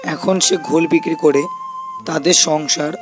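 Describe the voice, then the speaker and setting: A man's voice: fairly high pitch, steady tone, unhurried, music in the background, lightly acted. A man voicing a pleading young village football teammate with an informal, questioning manner, in a cartoon. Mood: reporting.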